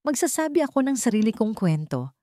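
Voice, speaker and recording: A woman voicing a wounded but resolute young heiress in a story, speaking in measured, firm, quietly defiant declarations. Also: fairly high pitch, sing-song, dry recording, studio quality, pitched above the performer's own voice. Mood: determined.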